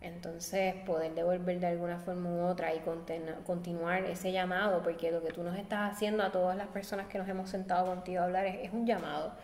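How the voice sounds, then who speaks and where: A woman's voice: fairly low pitch, little pitch movement, fairly quick, hardly any pauses, darkish tone, slight background noise. Earnest woman in a reverberant room. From Puerto Rico. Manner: thoughtful, searching for words, earnest. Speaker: guest designer. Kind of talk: reflective interview answers. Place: seated at a table with coffee. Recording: roomy echo with long reverb.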